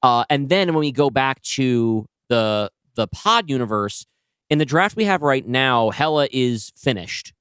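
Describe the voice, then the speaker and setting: A man's voice: mid-range voice, animated, a little slow, a bit bright, smooth, dry recording, clean studio sound. A man co-hosting a podcast, discussing ideas in a casual, analytical, conversational way. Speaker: podcast co-host and writer. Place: indoors, two people seated across from each other.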